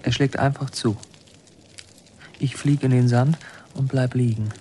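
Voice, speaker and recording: A man acting as first-person narrator of a radio drama, an anxious son telling memories in hushed, intent short phrases. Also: medium pitch, steady tone, a little slow, slight background noise, natural voice. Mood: stunned, stubborn. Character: son recounting tense childhood memories, anxious and secretive.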